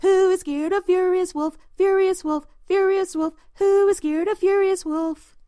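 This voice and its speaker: A woman's voice: extremely high pitch, big pitch swings, slow pace, dark tone, dry recording, studio quality, voice pushed up, heavily acted. A woman voicing defiant little pig brothers from a children's storybook in a squealing, sing-song manner. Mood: playful taunting. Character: defiant little storybook pigs, young brothers, singing tauntingly.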